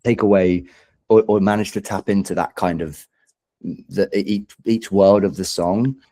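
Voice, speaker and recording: A man's voice: low-pitched, fairly even intonation, moderate pace, warm tone. A man who is a singer-songwriter guest in a casual interview, speaking in a hesitant, thoughtful, self-deprecating way.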